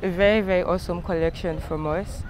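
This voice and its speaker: Low, flat-voiced woman designer. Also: quick pace, noisy background. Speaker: fashion designer guest. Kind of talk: TV interview.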